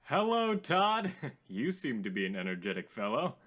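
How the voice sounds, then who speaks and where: A man playing a theatrical, lively-voiced radio host. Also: mid-range voice. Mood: polite, theatrical. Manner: formal, genteel, drawn-out greetings. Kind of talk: radio drama acting as call-in host.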